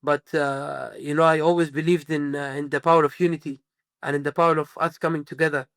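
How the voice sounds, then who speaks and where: A man's voice: on the high side, flat delivery, fairly quick, a bit bright, dry recording, clean studio sound. A man from Sudan, a musician guest in a casual interview, rambling with many fillers and restarts.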